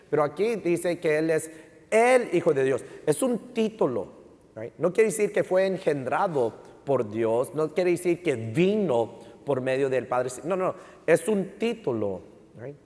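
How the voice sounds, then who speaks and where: A man's voice: a little high, darkish tone. A man preaching as a pastor in an emphatic, teaching way, repeating key phrases and switching between Spanish and English. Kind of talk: sermon with rhetorical questions to the congregation. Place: large indoor church hall. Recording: reverberant hall sound.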